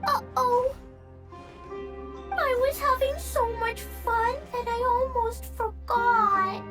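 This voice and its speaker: A woman's voice: very high voice, steady tone, slow pace, music in the background. A woman voicing a playful, innocent little girl mage in an anime game, with a cutesy childlike voice. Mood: carefree.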